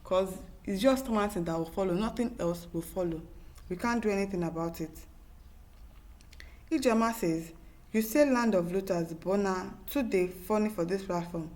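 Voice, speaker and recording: A woman's voice: mid-range voice, normal speed, darkish tone. A woman with a Nigerian accent hosting an opinionated news commentary, speaking emphatically and in exasperation, with repetition and rhetorical questions. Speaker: talk show host reading viewer comments.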